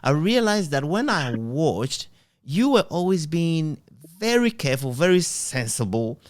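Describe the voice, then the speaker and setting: A middle-aged man conducting a reflective, conversational interview in a sentimental, halting and thoughtful way. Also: on the high side, very animated, no room sound, clean studio sound. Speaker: interviewer, journalist.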